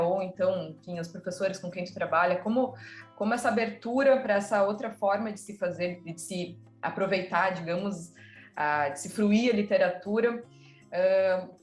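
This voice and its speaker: Interviewer: woman, southern Brazilian accent, mid-pitched, feels her way through phrases, recorded in an echoey space. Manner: hesitant, searching for words, repeating phrases. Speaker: interviewer, teacher. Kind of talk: conversational interview. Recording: roomy echo, music in the mix.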